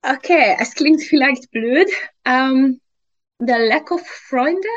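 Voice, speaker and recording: A woman in her early thirties with a Hungarian accent gives a personal account as an interview guest. Her voice is fairly high and slow, halting as she searches for words as a non-native speaker. The recording is dull and lo-fi. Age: early 30s. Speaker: interview guest, fitness trainer. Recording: dull lo-fi sound.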